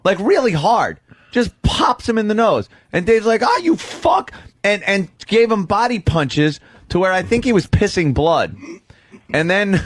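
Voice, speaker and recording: A man's voice: high voice, no room sound. Man bantering on talk radio, dry sound. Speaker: radio show co-host. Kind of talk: casual comic radio banter.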